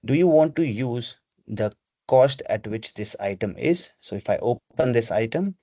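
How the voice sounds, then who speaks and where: Male software trainer, mid-pitched, instructional, explaining procedures over lo-fi call audio. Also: lively intonation, normal speed, dry recording. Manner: step-by-step, instructional. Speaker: webinar presenter. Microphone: video call microphone. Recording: dull, lo-fi video call audio.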